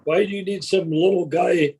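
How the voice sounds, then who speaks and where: A man's voice: high-pitched, fairly even intonation, moderate pace, vocal fry, darkish tone, a little hiss or hum. A male co-host on a video call, speaking wryly and arguing his point with sarcastic jokes. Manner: wry, argumentative, sarcastic jokes. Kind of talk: casual video-call discussion.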